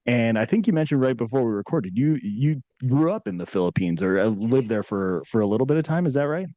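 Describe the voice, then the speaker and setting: A man's voice: medium pitch, animated, fairly quick, warm tone, dry recording, dull audio. A man hosting a podcast interview, chatty and upbeat, often rephrasing his questions. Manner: upbeat, chatty, laughs easily, trails into rephrasing. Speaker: podcast host. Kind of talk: casual interview chat.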